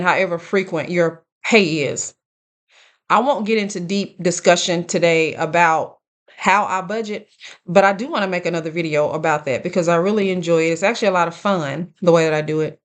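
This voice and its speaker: A woman in her late thirties giving casual, earnest life advice straight to her viewers, speaking deliberately and checking in with them. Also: lowish voice. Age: late 30s. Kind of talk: casual direct-to-camera advice talk.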